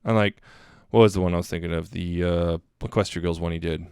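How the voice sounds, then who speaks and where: A man co-hosting a podcast in casual, unscripted chat, low-key and often rephrasing and hedging his opinions. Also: steady tone, fast, vocal fry, darkish tone, smooth, no room sound, clean studio sound. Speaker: podcast co-host reviewing fan music.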